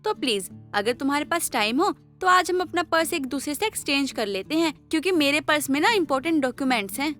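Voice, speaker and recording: An outspoken young wife in an audio story drama, acted by a woman. Her high voice swings expressively up and down, over background music. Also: lively intonation, fast, hardly any pauses, fairly bright tone. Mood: polite. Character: outspoken, feisty young wife quick to complain. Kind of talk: Hindi moral-story audio drama acting.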